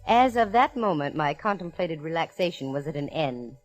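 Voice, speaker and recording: A woman's voice: deep voice, expressive, moderate pace, faint music behind, poor audio. An actress playing a wry, self-assured female private investigator with dry wisecracks, in old radio detective style. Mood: wry narration. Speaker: lead actress and narrator. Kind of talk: 1940s-50s radio detective acting, wry narration.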